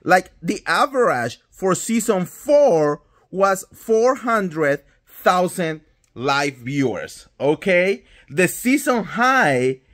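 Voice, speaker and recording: Colombian-accented man, thirties, vlogger, fired-up and swearing, slightly high voice, phone close in a small room. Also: very animated, slow pace, fairly bright tone. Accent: Colombian Spanish. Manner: indignant, emphatic, swears freely. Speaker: pop culture vlogger. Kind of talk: ranting reality TV commentary. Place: indoors at home, small room. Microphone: phone held close at arm's length.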